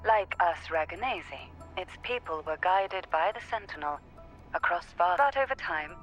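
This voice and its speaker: A woman's voice: fairly low pitch, lively intonation, normal speed, a bit bright, highs cut off, faint music behind. A woman playing a refined, knowing noblewoman in a video game, talking formally and teasingly through a call filter. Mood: measured. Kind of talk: video game character acting.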